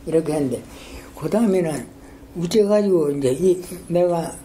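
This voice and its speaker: An older man with a strong Gyeongsang dialect, a retired school principal telling his story in a halting, rambling way in an oral history interview. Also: fairly high pitch, lively intonation, warm tone, a little hiss or hum. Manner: halting, rambling, many fillers and restarts.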